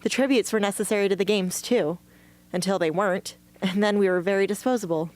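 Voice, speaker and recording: A woman's voice: medium pitch, fairly quick, close to an everyday voice. A woman playing a defiant, guarded young rebel hunter in an amateur radio drama, speaking in a blunt, challenging way. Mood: defiant, warning. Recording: faint hum and background noise.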